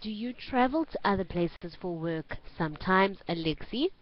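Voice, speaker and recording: A woman with a South African accent teaching an online English class, giving instructions clearly and repeating phrases for learners. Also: medium pitch, expressive, moderate pace. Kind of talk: online group lesson. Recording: dull lo-fi online call audio.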